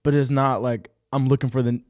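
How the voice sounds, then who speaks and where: A young man as a podcast guest in casual chat, easygoing and giving brief, relaxed replies. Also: mid-range voice, a little fast, dry recording. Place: studio.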